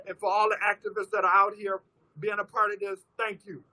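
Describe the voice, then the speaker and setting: Hoarse guy from oakland preaching at a rally, really high voice, super bright and sharp. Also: flat delivery, fairly quick, poor audio. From Oakland, California. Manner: call-and-response chants with the crowd. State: hoarse, losing his voice. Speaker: rally speaker for families of police violence victims. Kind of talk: impassioned rally speech.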